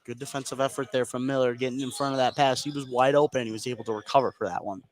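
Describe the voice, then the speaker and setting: A man's voice: mid-range voice, fairly even intonation, fast. An American man giving casual, explanatory color commentary during a live basketball broadcast. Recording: noisy gym, bouncing ball behind. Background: basketball game in a gym.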